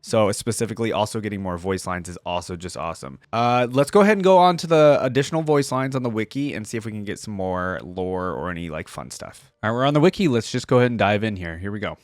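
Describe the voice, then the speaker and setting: Lowish, dry-sounding man reacting. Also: fast, hardly any pauses, dry recording. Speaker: reaction video host. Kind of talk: casual gaming reaction commentary.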